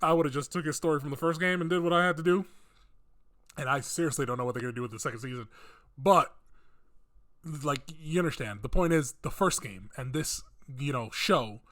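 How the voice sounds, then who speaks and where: A man's voice: a little high, brisk, fairly bright tone. A man hosting a casual opinion video, rambling conversationally and thinking aloud with emphatic points. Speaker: video host giving opinions. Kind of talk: casual rant commentary on video game films.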